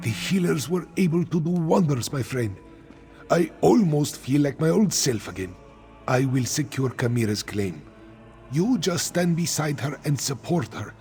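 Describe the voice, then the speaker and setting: An actor voicing a devoted older catfolk guardian in a video game, with a formal, declamatory way of speaking. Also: male voice, on the high side, very animated, measured pace, a little mellow, soft background music. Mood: calm, fond. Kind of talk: scripted video game voice acting.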